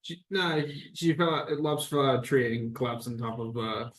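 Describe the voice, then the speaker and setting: A man taking part in a workshop, making casual remarks and questions in a halting, self-correcting way. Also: mid-range voice, a bit bright. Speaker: workshop participant.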